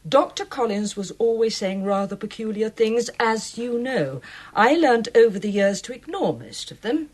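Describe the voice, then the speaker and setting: An actress playing a brisk, guarded gallery manager in a radio drama, clipped and formal. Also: female voice, on the high side, lively intonation. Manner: clipped, formal and faintly defensive.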